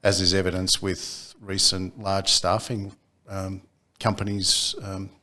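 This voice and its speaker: Man, very low voice, CEO guest, measured, halting with ums. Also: level tone, pushed and bright. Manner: measured, frequent ums and repeated words. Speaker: company CEO, podcast guest. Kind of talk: casual business chat.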